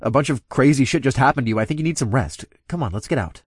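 A man's voice: mid-range voice, expressive, quick pace, breathy edge, no room sound, clean studio sound, pitched above the performer's own voice. A man voicing a protective, caring male werepanther with an earnest, firm manner in an audiobook. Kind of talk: acted audiobook dialogue.

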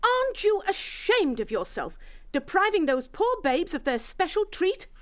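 A man's voice: extremely high pitch, very animated, measured pace, a bit bright, voice pushed up, heavily acted. A man voicing a scolding abbey elder who lectures reproachfully.

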